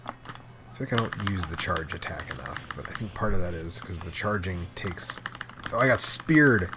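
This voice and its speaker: A man with a fairly low voice hosts a casual gaming session. He talks in loose, halting asides as he plays. The recording is clearly noisy, with clicks and typing behind him. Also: expressive. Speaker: host playing an arcade game. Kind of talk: casual gaming chat and commentary. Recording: clearly noisy room, clicking controls and keys.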